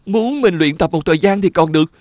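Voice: mid-range voice, fairly quick, a little mellow, no room sound, natural voice. A performer voicing a slightly lazy, envious schoolboy in a dubbed cartoon, whining and asking questions. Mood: whiny puzzled. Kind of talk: cartoon dubbing.

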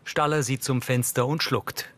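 A man's voice: medium pitch, fairly even intonation, normal speed, a bit bright. A man reading scripted audio description in a neutral, matter-of-fact way as the narrator.

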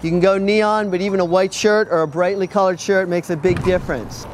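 A woman's voice: on the low side, fairly even intonation, brisk, background noise. A woman giving an instructional presentation as a safety instructor.

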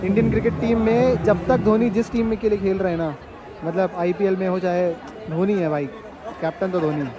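An excitable man in his twenties chatting casually to his phone as a vlogger, mixing English words into his speech. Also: high-pitched, brisk. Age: 20s. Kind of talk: casual vlog chat, live match reactions. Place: in the stands of a large open-air cricket stadium. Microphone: phone at arm's length. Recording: loud stadium crowd and music behind.

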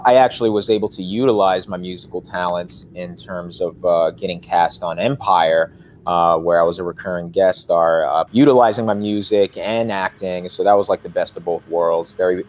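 A young man speaks from his living room couch over a webcam call. His voice sits on the low side and he talks animatedly, stacking fillers. A faint hiss of room noise sits underneath. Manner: animated, gestures a lot, frequent fillers. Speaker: actor, interview guest. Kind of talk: casual interview answers. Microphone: webcam on video call.

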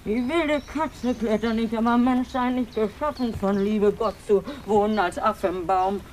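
An actress playing a grumpy, proud, fat cook in a 1950s radio play, scolding in folksy broken grammar. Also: female voice, fairly high pitch, fairly even intonation, measured pace, darkish tone, natural voice. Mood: indignant, proud. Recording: noisy old radio play recording.

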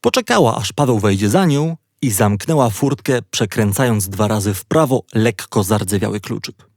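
A man narrating a children's story in an expressive, playful storytelling manner. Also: lowish voice, moderate pace, no echo, clean studio sound.

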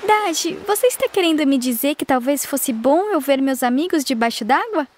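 A woman voicing a kind young mermaid princess in a gentle, soft-spoken cartoon dub. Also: sits high, lively intonation, normal speed. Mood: sad. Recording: cartoon dub with music behind.

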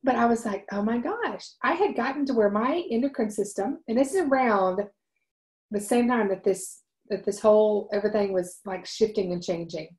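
A woman's voice: mid-range voice, moderate pace, poor audio. A woman in her forties hosting a wellness livestream from home, telling a personal story earnestly with many ums and restarts, speaking into a webcam. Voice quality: loud voice. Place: home room, indoors. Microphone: webcam at desk.

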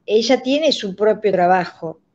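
A woman's voice: medium pitch, lively intonation, measured pace, a little mellow. An effusive, sentimental Argentine woman in her sixties, chatting as an interview guest about her work. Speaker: radio drama director, guest. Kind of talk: casual conversational interview. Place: indoors at home. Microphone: phone or laptop on video call. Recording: dull lo-fi video call.

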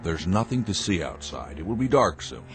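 A man's voice: sits low, sing-song, moderate pace, music in the background. An actor playing a stern, fussy, controlling scientist father in an audio drama, speaking formally and precisely. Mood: stern, complacent. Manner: formal, authoritative, precise wording.

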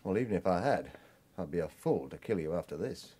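A man's voice: deep voice, lively intonation, a little fast, mellow, breathy edge. An actor playing a sardonic, defiant retired hitman in a radio crime drama, evasive and wry. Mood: guarded.

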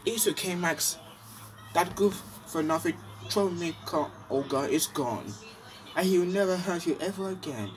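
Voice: medium pitch, expressive, moderate pace, a little hiss or hum, pitched above the performer's own voice, natural voice, low-quality recording. A homemade fan video performer voicing a bossy, protective young girl rabbit with a squealing, scolding voice. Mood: comforting.

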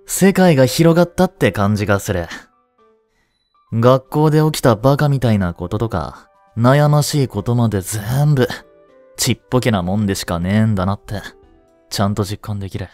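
Man playing a lively, cheeky slacker schoolboy. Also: on the low side, moderate pace, dry recording, faint music behind, close to an everyday voice. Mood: earnest, encouraging. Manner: casual, teasing, slangy, blunt. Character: cheeky, laid-back slacker high-school boy. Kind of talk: Japanese romantic audio drama acting.

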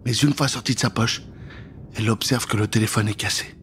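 A man's voice: lowish voice, fairly even intonation, a little fast, a bit bright, slight background noise. A man in his thirties telling a children's story dramatically into a close studio microphone. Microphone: close studio microphone with pop filter.

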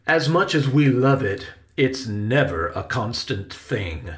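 A man's voice: medium pitch, sing-song, takes it slowly, a bit bright, pitched above the performer's own voice. A man voicing a passionate, earnest uncle who acts on the stage, with an emphatic, declamatory delivery in a storybook reading.

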